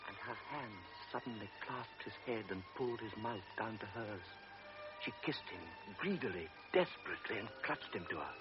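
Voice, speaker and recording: A man narrating a radio drama in a measured, dramatic storytelling manner. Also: medium pitch. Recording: dull radio drama recording.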